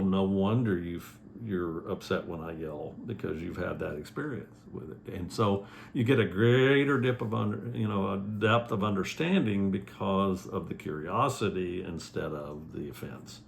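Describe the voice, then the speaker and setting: Gravelly low-voiced male coach. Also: a little hiss or hum. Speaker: relationship coach. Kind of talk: casual conversational coaching.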